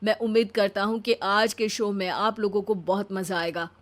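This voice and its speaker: A woman's voice: mid-range voice, fairly even intonation, moderate pace, natural voice. A woman voicing an overconfident, scheming but dim married man with a breezy, self-assured manner in a story. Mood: proud.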